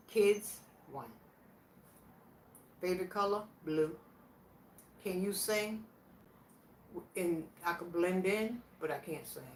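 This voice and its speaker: A woman in her sixties, from Virginia, casually working through a question challenge in a plainspoken, reflective way. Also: low voice. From Virginia, USA. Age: 60s. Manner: plainspoken, slow and reflective, answering questions aloud. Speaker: vlogger. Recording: echoey room, voice from a distance, faint background noise.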